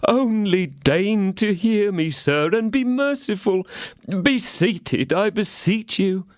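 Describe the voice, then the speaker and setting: A man's voice: sits high, lively intonation, takes it slowly, dry recording, studio quality, pitched above the performer's own voice. A man voicing a frightened, fawning innkeeper who pleads in a lamenting tone. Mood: pleading. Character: trembling fawning middle-aged male innkeeper.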